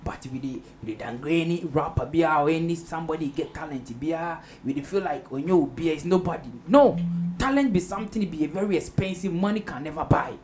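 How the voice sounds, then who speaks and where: A man's voice: a little high, brisk, few pauses, darkish tone. A man with a Ghanaian accent hosting a roundtable, chatting casually in Pidgin-flavoured speech and echoing what his guests say. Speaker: roundtable host. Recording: noisy room with ambient background.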